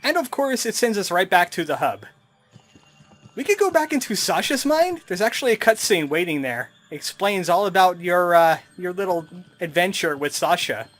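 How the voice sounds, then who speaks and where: A man's voice: high voice, sing-song, normal speed, fairly bright tone. A man giving casual, snarky let's play commentary as he plays a video game. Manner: snarky, wry. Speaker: gamer, let's play host. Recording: commentary over game music.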